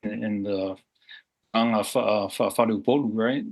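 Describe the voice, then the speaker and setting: A low-pitched man in his forties, born and raised in Samoa, speaking in a candid interview. He talks earnestly and reflectively, circling back with fillers and restarts as he thinks aloud. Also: steady tone, measured pace, gravelly, a little mellow. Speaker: guest.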